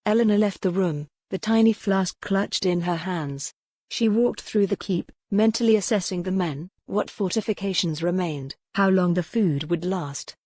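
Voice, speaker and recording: A woman reading a historical romance audiobook in a measured, dramatic storytelling manner. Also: fairly low pitch, a little mellow, no echo. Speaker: audiobook narrator. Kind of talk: scripted fiction narration, historical romance.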